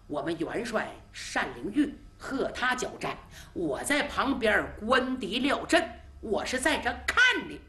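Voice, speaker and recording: A woman's voice: mid-range voice, sharp tone. A woman voicing a cornered defiant prince. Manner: defiant, pleading. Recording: roomy echo.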